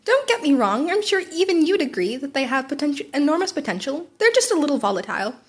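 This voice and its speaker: A woman's voice: high-pitched, big pitch swings, quick pace, voice pushed up. A woman voicing a gleefully teasing male talking-animal principal in a polite, airy, coy manner for a story reading.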